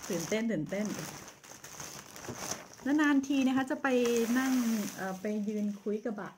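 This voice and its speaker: A woman in her thirties from Thailand chatting to viewers in a casual haul vlog, recorded on a phone in a small bright room. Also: high-pitched, animated, measured pace, noisy background. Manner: chatty and friendly, addresses viewers often. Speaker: vlogger.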